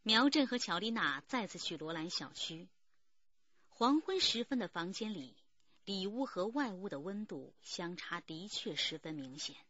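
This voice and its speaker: A composed narrator tells a radio crime story. Her mid-pitched voice is slightly husky and moves expressively through measured, scripted lines. The recording is dry. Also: expressive, fairly bright tone, no echo, highs cut off.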